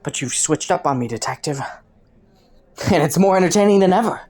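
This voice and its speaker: A woman's voice: fairly low pitch, expressive, brisk, dark tone. A woman voicing a clever, flirty underworld rogue in an audio roleplay, teasing and taunting a detective with sardonic, sultry playfulness. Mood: mocking, playful. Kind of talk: roleplay audio drama, intimate monologue to the listener.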